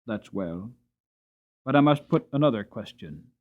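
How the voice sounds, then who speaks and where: A man voicing a grave but kindly Victorian master of the house in an audiobook, measured, formal and genial. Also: on the low side, animated, narrow, tinny audio. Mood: grave, questioning. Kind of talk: dramatic audiobook character reading.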